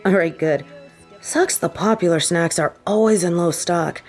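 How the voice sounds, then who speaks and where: A slow-speaking supervillain woman, played by an actress in an intimate audio roleplay. She is sardonic and vengeful, with a tender side for her partner, and soft music plays faintly underneath. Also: lowish voice, lightly acted. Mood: teasing, fond. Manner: teasing, sighing, halting when confessing.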